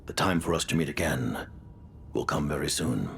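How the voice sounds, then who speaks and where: Very deep, gravelly, stately commander. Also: male voice, lively intonation, soft background music, exaggerated voice. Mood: formal, courteous. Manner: formal, measured, solemn. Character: grave, stately older male leader of a secretive organization. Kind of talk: theatrical video game voice acting.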